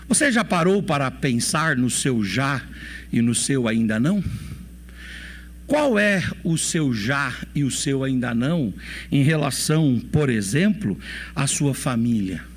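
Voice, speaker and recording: A Brazilian pastor in his forties preaching a sermon in a church, asking his listeners questions and repeating key phrases. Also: medium pitch. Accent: Brazilian Portuguese. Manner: preaching with rhetorical questions and repetition.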